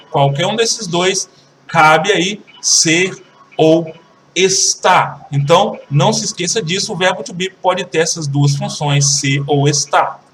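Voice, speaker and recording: A Brazilian man teaching an English lesson, switching between languages and repeating example sentences slowly and carefully. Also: high-pitched, a bit bright, far from the mic, slight background noise. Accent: Brazilian Portuguese.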